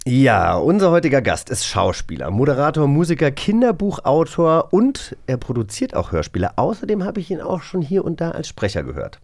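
A man's voice: a little high, huge pitch swings, brisk, few pauses, a little mellow, no room sound. Man chatting, close dry sound. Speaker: podcast co-host. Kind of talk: casual chat.

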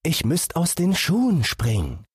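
An eager little fawn from a storybook, acted out by a man. The voice is lifted high above his usual one and sounds husky. It pleads with excitement. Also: measured pace, no room sound, clean studio sound, exaggerated voice. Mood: eager, pleading. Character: eager, pleading young enchanted fawn, male.

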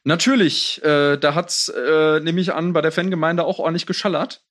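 A man chatting casually and with clear opinions as co-host of a film news show. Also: fairly high pitch, fairly even intonation, moderate pace, fairly bright tone.

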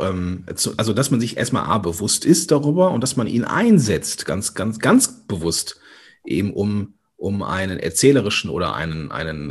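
A man's voice: lowish voice, slightly rough. A man hosting a casual podcast conversation, playful and stumbling a little over his words. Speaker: podcast host.

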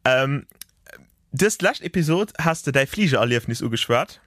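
A man's voice: mid-range voice, lively intonation, moderate pace, fairly bright tone, no echo, studio quality. Playful man with a Luxembourgish accent. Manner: playful, shares trivia with enthusiasm. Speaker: podcast co-host. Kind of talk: casual chat.

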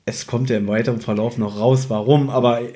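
A man's voice: medium pitch, fairly even intonation, fairly quick, dark tone. A man co-hosting a podcast, casually reviewing an audio drama in a teasing, ironic way. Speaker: podcast co-host.

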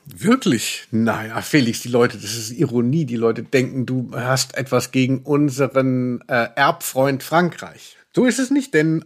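A man co-hosting a podcast in a casual, ironic discussion, explaining things in a mock-erudite way. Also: mid-range voice. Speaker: podcast co-host, pop journalist.

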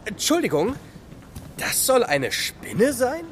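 A slow-spoken, sceptical young boy. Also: extremely high pitch, huge pitch swings, a little hiss or hum, exaggerated voice. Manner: sceptical, blunt. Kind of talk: children's audio drama acting.

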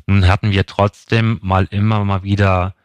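A man speaks in a low voice that hardly rises or falls. He explains like an analyst being interviewed, at length and with frequent asides. The sound is dry and close, with no room around it. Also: level tone, normal speed, clear, resonant tone, no room sound. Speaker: investment expert guest. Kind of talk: analytical interview commentary on markets.

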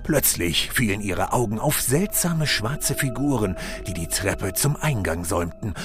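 A low male voice narrates a spooky fairy-tale audio play. His delivery is suspenseful and swings expressively in pitch, with music playing underneath. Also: expressive, measured pace. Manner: suspenseful storytelling.

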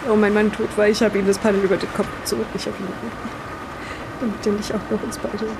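A woman's voice: medium pitch, flat delivery, heavy background noise, lightly acted. Shaken, insistent wife played by a woman. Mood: anxious, confessing. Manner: halting, insistent, confiding. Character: shaken, distraught wife haunted by her husband's return. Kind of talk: improvised in-character audio drama.

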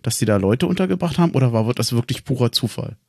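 A man's voice: medium pitch, expressive, quick pace, creaky, warm tone, dry recording, clean studio sound. A man hosting a fan podcast, chatty and casual, often repeating words as he starts a sentence. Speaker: podcast host.

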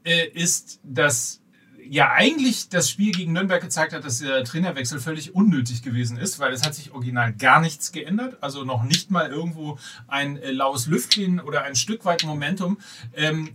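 A man co-hosting a casual football podcast, excitable and stumbling into his points, recorded a little away from the mic. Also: a little high, normal speed. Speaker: podcast co-host. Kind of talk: casual football talk, bantering. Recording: distant mic with faint background noise.

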